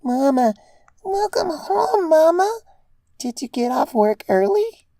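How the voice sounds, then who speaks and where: A performer voicing an excitable, sweet little unicorn filly in cutesy baby talk for a storybook reading. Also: high-pitched, takes it slowly, no echo, clean studio sound, pitched above the performer's own voice, heavily acted. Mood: overjoyed. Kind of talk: storybook character voice in fan fiction reading.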